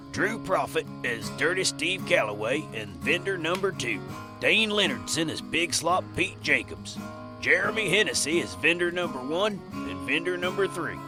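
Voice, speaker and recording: A man narrating a Western tale in a folksy, dramatic storyteller manner over guitar music. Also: fairly high pitch.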